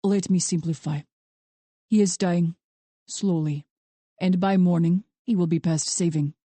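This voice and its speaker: A woman voicing a calm, menacing Russian man holding captives in an audiobook thriller, speaking in a deliberate, conversational way with a Russian accent. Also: on the low side, no room sound, pitched below the performer's own voice. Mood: cold, matter-of-fact. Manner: conversational, deliberate, coldly amused. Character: calm, menacing, well-dressed Russian male captor.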